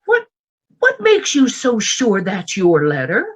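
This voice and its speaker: Woman playing a coy, husky frontier flirt. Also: a little high, wildly swooping pitch, slow, lo-fi sound, heavily acted. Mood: coy, taunting. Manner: coquettish, playful, mock-innocent. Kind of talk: old-time western radio drama acting.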